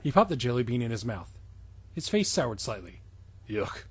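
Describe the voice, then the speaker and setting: A man's voice: medium pitch, very animated, fairly quick, a little hiss or hum. A man hosting a casual comic fanfiction reading, mixing narration with mocking, sarcastic asides.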